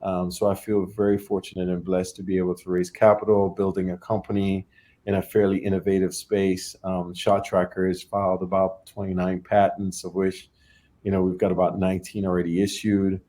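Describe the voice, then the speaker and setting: Lowish-voiced man from Trinidad and Tobago. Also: monotone, fairly quick, warm tone. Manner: repeats and restarts words, thinks aloud. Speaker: startup founder, interview guest. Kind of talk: casual interview talk.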